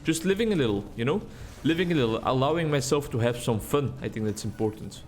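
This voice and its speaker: A man's voice: medium pitch, lively intonation, quick pace, darkish tone, a little hiss or hum. A Dutch man, a native Dutch speaker, giving rambling, chatty gameplay commentary in English on a desk mic. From Netherlands. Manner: rambling, chatty, self-deprecating. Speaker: gaming YouTuber.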